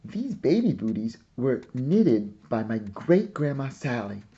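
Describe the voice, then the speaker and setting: Man voicing a proud little girl, slow and earnest. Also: mid-range voice, sing-song, dark tone, slightly rough, highs cut off, pitched above the performer's own voice, exaggerated voice. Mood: proudly explaining. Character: proud, eager little girl playing museum guide.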